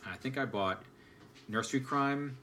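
A man in his forties chatting casually as a panelist, telling stories in a halting way. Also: mid-range voice, a bit bright, a little hiss or hum. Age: 40s. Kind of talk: casual chat.

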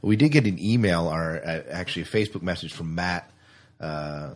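A man's voice: sits low, moderate pace. A man hosting a podcast in a casual, conversational style. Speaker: podcast host. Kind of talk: casual discussion.

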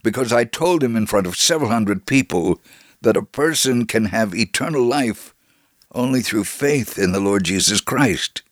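Low-voiced man reading an earnest testimony. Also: expressive, moderate pace, no echo, clean studio sound. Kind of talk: reading a first-person testimony aloud.

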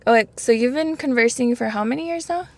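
A woman's voice: fairly high pitch, fairly quick, darkish tone, dry recording. A woman interviewer asking short, prompting questions in a friendly exchange.

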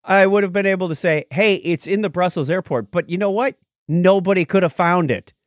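A man's voice: sits high, brisk, no room sound, studio quality. A man co-hosting a podcast with casual, joking banter and readings of listener messages. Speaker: podcast co-host.

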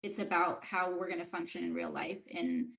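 A woman guest on a podcast, speaking in a reflective, halting, casual way. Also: lowish voice, steady tone, creaky, a bit bright. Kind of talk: casual interview chat.

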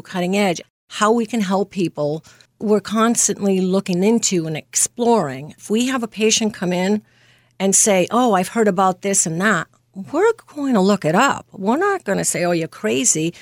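A woman's voice: mid-range voice, a little mellow, no room sound. Woman in her fifties, from Greece, interview guest, earnest, laughs often, dry close sound. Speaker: nurse practitioner, interview guest. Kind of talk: casual interview.

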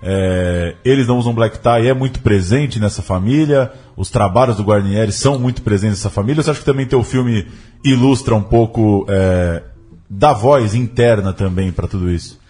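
Low, husky Brazilian male podcast host. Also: moderate pace, mellow. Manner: tentative, rephrases questions as he goes. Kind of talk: casual interview questions.

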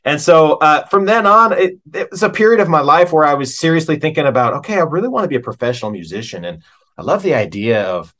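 A man's voice: on the high side, lively intonation, quick pace, a bit bright. A man speaking as an interview guest and musician, chatting informally in a rambling, anecdotal way. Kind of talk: casual anecdotal chat.

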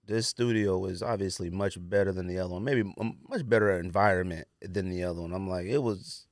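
A man's voice: low-pitched, fairly quick, no room sound, studio quality. An American man hosting a podcast, chatting casually and jokingly in slangy speech about sports and sneakers. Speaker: podcast host.

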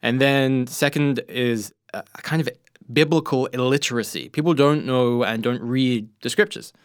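Young english guy, church youth worker, smooth clear voice, dry close studio sound. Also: mid-range voice, moderate pace, no echo. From England. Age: young adult. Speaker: guest, student ministries assistant. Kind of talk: casual conversation. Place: radio studio.

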